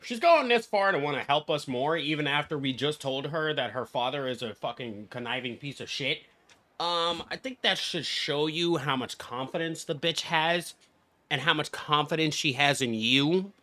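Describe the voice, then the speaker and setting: A man streaming a video game with casual, blunt, excitable, foul-mouthed reaction commentary. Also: a little high, moderate pace. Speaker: gaming streamer.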